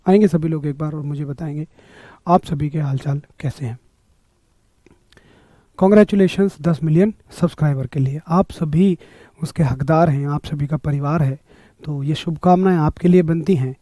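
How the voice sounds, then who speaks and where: A man with a sore, hoarse throat, teaching a live online physics class and greeting his viewers by name. He keeps clearing his throat. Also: fairly high pitch, moderate pace, mellow, no room sound. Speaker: online exam-prep teacher.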